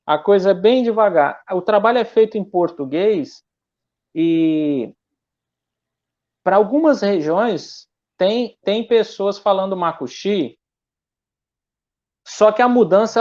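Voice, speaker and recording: A man's voice: sits high, expressive, a little mellow, dull audio. A male missionary speaking in a conversational church interview, hesitant and full of restarts. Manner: halting, many restarts and fillers.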